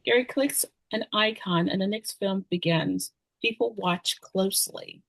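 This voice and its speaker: A woman's voice: mid-range voice, measured pace, a bit bright, low-quality recording. A woman reading stage directions as scripted narration at a screenplay table read.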